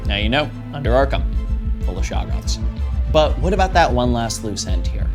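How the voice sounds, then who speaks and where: A man explains tabletop rules casually in a medium-pitched voice. He is irreverent and sweary, dropping conspiratorial asides, with faint music behind him. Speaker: host of a tabletop RPG advice show.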